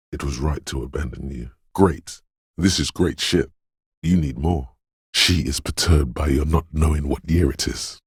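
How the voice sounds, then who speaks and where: A man's voice: dry recording, studio quality, full character voice. A man voicing a dreamy, mystical inner voice of intuition in a video game, narrating in a brooding, theatrical and intimate way. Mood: brooding, insistent. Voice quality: very deep, gravelly, husky. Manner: brooding, theatrical, addressing the listener as you.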